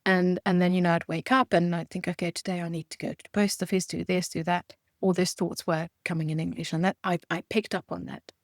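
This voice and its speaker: A woman's voice: lowish voice, level tone, a little fast, hardly any pauses, dry recording, studio quality. A woman in her forties from Romania and Hungary, a native Hungarian speaker, talking animatedly as an interview guest, often restarting her sentences. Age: 40s. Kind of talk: conversational interview.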